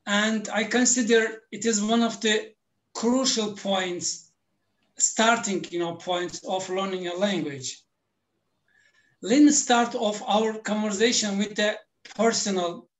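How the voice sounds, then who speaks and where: Slow-talking non-native English-speaking male language teacher. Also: high-pitched. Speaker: language teacher, interview guest. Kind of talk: explanatory interview talk.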